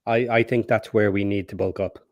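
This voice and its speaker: A hoarse man joining a football podcast as a guest, speaking in a measured, hesitant way with many pauses. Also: lowish voice, steady tone, a little mellow, dry recording, lo-fi sound. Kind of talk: casual chat.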